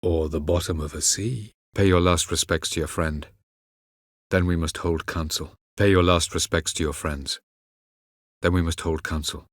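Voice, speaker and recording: Casting: ancient elf sage, video game. Deep voice, very dry close sound, aloof, measured, archaic and condescending. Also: male voice, fairly even intonation, dry recording. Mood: measured, grave. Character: aloof, haughty ancient elven sage and mage. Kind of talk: video game character acting.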